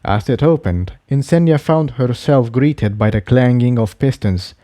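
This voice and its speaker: A man reading scripted fiction aloud as an expressive story narrator. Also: mid-range voice, unhurried, dry recording, clean studio sound.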